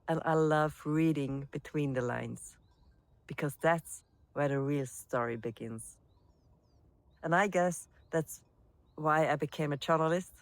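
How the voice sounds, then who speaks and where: Lower-pitched Austrian-accented woman, closely recorded. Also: no room sound. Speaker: wedding celebrant. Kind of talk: promotional self-introduction, scripted narration to camera.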